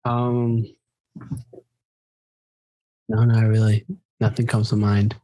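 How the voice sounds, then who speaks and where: A man chatting casually as a book podcast co-host, low-key and tentative in how he talks. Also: flat delivery, takes it slowly, warm tone, low-quality recording.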